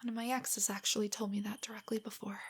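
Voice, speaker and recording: A woman playing a nervous, nerdy young girlfriend in an intimate roleplay audio drama, soft and whispery, with hesitant, stammering speech. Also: medium pitch, little pitch movement, fast talker. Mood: insecure, subdued. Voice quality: soft, breathy, often whispery. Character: shy, nervous, nerdy young girlfriend, self-doubting and affectionate.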